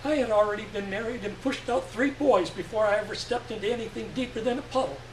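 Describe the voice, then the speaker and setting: A man's voice: sits high, fast, pitched above the performer's own voice, natural voice. A man voicing a chatty, needling mother of boys as he reads a story, questioning and exclaiming. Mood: teasing, incredulous. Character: chatty, needling young mother, wife and boys' mom. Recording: roomy, distant mic with faint hum.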